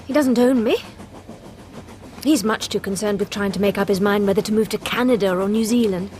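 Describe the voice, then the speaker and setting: An actress playing a chatty, cheeky, independent young secretary with a British accent in a radio drama. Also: female voice, medium pitch, brisk, darkish tone, slight background noise, lightly acted. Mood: curious, cheeky. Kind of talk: casual dialogue in radio drama.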